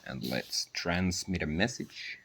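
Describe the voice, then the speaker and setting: A man with a low, gravelly voice hosts a casual DIY demonstration. He talks slowly and hesitantly, searching for words, with a lively swing in his pitch. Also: music in the background. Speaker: DIY video host.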